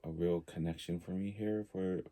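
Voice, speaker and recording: A man in his early forties from Tonga, talking casually and reflectively as a podcast guest, halting as he thinks aloud. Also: deep voice, steady tone, dark tone. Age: early 40s.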